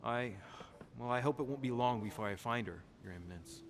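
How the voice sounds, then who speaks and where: An actor playing a polite, earnest young gentleman in a video game, speaking in a formal, courteous way. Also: medium pitch, fairly quick, lightly acted. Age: young adult. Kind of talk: dramatic game dialogue.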